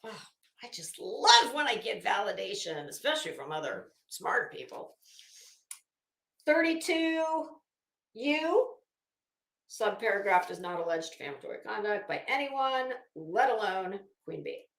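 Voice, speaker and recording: An American woman hosting a commentary show, reading documents aloud dramatically and adding casual, emphatic asides with drawn-out phrasing. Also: medium pitch, sing-song, fairly bright tone, roomy sound.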